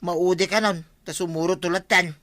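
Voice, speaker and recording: A man's voice: sits high, fairly even intonation, normal speed, pushed and bright. A man playing an exasperated village man who complains emphatically, in an Ilocano radio comedy drama. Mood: complaining.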